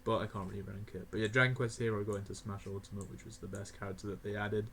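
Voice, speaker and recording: An eighteen-year-old man rambling casually through a game tier list, laughing often and filling pauses with ums and uhs. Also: on the low side, little pitch movement, fairly bright tone, slight background noise. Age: young adult (18). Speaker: gaming video creator.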